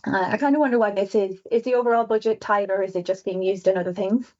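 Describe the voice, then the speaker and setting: A woman with an Irish accent, a visual designer presenting survey results on a webinar in a quick, chatty way. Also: medium pitch, steady tone, darkish tone. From Ireland. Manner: fast-talking, chatty, self-aware. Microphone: video call. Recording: dull lo-fi webinar audio.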